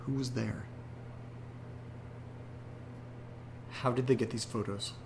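A man's voice: mid-range voice, steady tone, fairly quick, gravelly, background noise, close to an everyday voice. An actor playing an anxious young man who talks hesitantly and rambles, in a horror audio drama. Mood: frightened. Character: anxious young man receiving strange photos.

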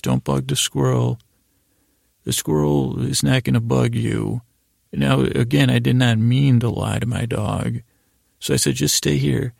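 Male podcast host, heavy gravelly fry, mid-pitched; meandering, whimsical bedtime rambling with halting restarts. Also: dry recording. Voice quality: creaky, gravelly. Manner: meandering, halting, repeats and trails off; gently whimsical, self-deprecating. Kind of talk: rambling bedtime monologue to the listener.

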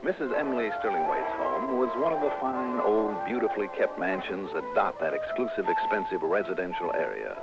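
An actor with an American accent playing a confident, courteous insurance investigator who narrates a 1960s radio detective drama in a measured, probing way. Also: male voice, fairly low pitch, very animated, over loud music. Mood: narrating. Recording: dull, lo-fi old radio recording.

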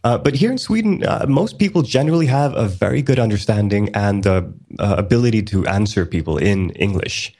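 A man co-hosting a casual podcast, explaining at length in a relaxed way with many ums and restarts. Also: lowish voice, a little fast, hardly any pauses. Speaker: podcast co-host.

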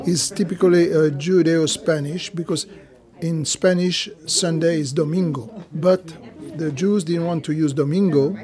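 A man from France, talking in a casual interview, halting and searching for words. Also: on the high side. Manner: halting, with many uh fillers and restarts.

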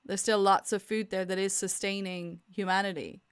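An Irish woman co-hosting a podcast, talking in an animated, rambling casual chat. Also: mid-range voice, moderate pace, clear, resonant tone, no echo, studio quality. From Ireland.

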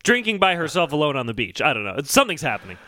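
A man's voice: on the high side, big pitch swings, racing, sharp tone, dry recording. A man co-hosting a comedy podcast on a close mic, prodding the others with wry banter. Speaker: podcast co-host.

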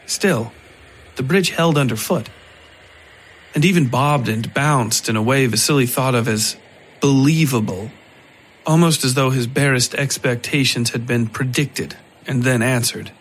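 A man reading scripted dark fiction as the narrator of a story podcast. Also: medium pitch, a little slow, slightly rough, poor audio. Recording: faint noise behind the voice.

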